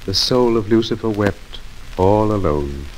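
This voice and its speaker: An actor in an old radio drama playing a gentle, devoted gentleman husband, speaking softly and soothingly. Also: on the low side, expressive, poor audio. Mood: solemn, reading. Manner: soft, measured, soothing. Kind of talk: 1940s radio drama acting. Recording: old radio recording, faint noise.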